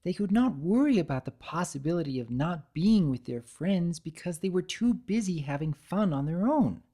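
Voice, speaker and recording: A woman's voice: fairly low pitch, lively intonation, normal speed, warm tone, pitched above the performer's own voice. A woman voicing a thoughtful, level-headed young female cartoon pony in a story reading, with precise, reasoning delivery.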